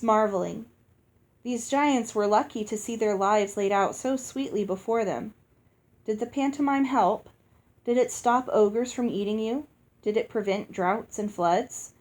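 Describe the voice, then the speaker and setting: A woman's voice: a little high, darkish tone. A woman narrating a children's fantasy novel aloud in the first person, as a storybook reader. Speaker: audiobook reader.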